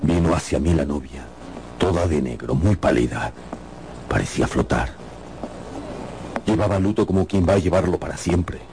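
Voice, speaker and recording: An actor playing a weary, troubled riverboat captain, telling his journey gravely and theatrically as a radio drama narrator. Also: rumbling low, animated, normal speed, long pauses. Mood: haunted, somber.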